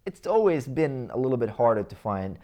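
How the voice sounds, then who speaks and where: A man's voice: medium pitch, sing-song, a little fast, mellow. Croatian-accented man telling his life story. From Croatia/Bosnia region. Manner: rambling, many fillers and restarts, reflective. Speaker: interview guest. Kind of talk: casual life-story interview.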